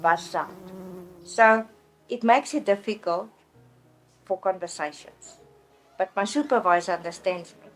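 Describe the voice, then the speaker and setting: A woman's voice: medium pitch, measured pace, long pauses, soft background music, poor audio. Earnest Afrikaans-accented woman speaking. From South Africa. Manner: earnest, plainspoken, often restarts words. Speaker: store clerk telling her story. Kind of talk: personal testimony in an interview.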